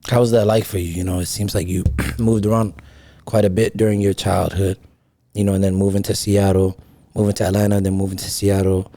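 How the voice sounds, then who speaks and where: A man's voice: low-pitched, level tone, brisk, mellow. A man hosting a casual interview, asking questions in an informal, slangy, friendly way. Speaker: interview host.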